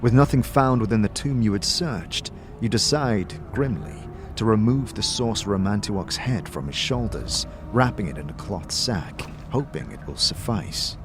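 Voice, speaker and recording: A man giving grave, expressive dramatic narration of a fantasy story. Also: on the low side, normal speed, hardly any pauses. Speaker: narrator, also voicing the player character. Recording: music behind the voice.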